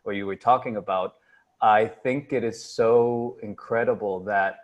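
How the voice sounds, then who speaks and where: A man's voice: fairly low pitch, fairly even intonation, unhurried. A man from Costa Rica talks his way through his thoughts. He hesitates often and fills the gaps with um and like. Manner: hesitant, many fillers. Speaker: panelist. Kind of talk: casual discussion.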